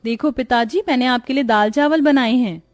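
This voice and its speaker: A woman's voice: fairly high pitch, normal speed, natural voice. A woman voicing an earnest, sweet poor village girl in a cartoon story. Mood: eager. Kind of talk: Hindi cartoon dialogue.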